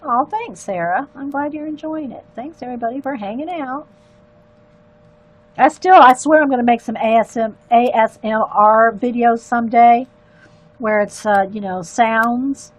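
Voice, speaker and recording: An older woman with a loud, carrying voice chats to her viewers and keeps wandering off on side stories. Her voice sits a little high for a woman, and the sound is close and dry with no room around it. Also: moderate pace, no echo, poor audio. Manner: rambling, chatty asides to viewers. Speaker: livestream artist. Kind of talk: casual chat while coloring.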